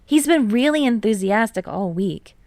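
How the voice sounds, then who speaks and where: Woman as teen boy, raised pitch, teasing, anxious, expressive, audiobook character, dry sound. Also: moderate pace, dry recording. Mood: friendly. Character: teenage boy, friendly, teasing, anxious volleyball player.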